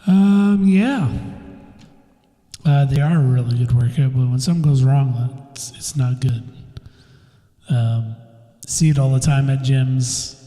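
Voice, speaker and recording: A man hosting his own podcast, talking casually and slowly, a bit stuffed up, swearing now and then and laughing at himself. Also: mid-range voice, warm tone. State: stuffed up, slightly congested. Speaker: podcast host. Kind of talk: casual solo podcast rambling. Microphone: phone or computer mic, new recording software. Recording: echoey room with long reverb.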